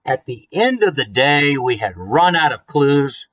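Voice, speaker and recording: A man's voice: mid-range voice, very animated, a little slow, fairly bright tone. An American man in his thirties reading a children's book expressively, with chatty asides and questions to the listeners. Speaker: children's author reading own book to viewers. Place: small room at home, indoors.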